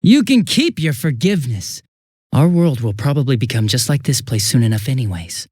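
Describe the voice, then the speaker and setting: A young detective gone bad, a smug game villain who enjoys taunting. His mid-pitched voice swoops from bored, sarcastic drawl to giddy theatrical mockery. The sound is very dry, with no room to it. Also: very animated, normal speed, a little mellow, slightly rough, no echo. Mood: smugly menacing. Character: smug, mocking young male detective turned murderous villain. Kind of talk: video game voice acting.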